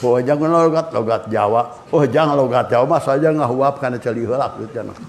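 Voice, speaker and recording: An older man with a Sundanese accent giving a religious lecture to students, lively and switching between languages as he acts out anecdotes. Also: medium pitch, fairly quick. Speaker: Islamic cleric.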